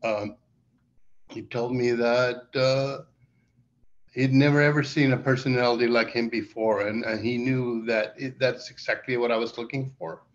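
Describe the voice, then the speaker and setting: A middle-aged man, telling stories in a relaxed, halting way full of fillers on a video call. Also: mid-range voice. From Iceland. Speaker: documentary director. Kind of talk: casual interview answers. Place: indoor room with plain wall, video call. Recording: dull lo-fi video call audio.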